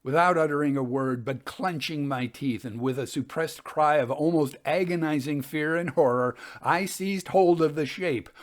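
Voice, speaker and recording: A man reading Victorian ghost tales as a storytelling host, in a measured, deliberate and expressive way. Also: on the high side. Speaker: host and reader of ghost stories.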